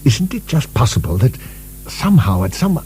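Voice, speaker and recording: Actor as a mellow, musing asylum director. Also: male voice, mid-range voice, big pitch swings, normal speed, faint music behind, natural voice. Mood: earnest, musing. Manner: musing, searching, hesitant. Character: earnest, fanciful, hesitant male asylum director. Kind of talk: radio drama acting. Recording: soft recording with faint hum.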